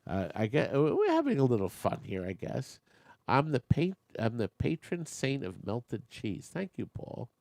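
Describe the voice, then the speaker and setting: A man's voice: mid-range voice, wildly swooping pitch, measured pace, vocal fry, a little mellow, dry recording. A man hosting a live online auction, chatting with bidders and making joking asides while losing his voice. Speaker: auctioneer and show host.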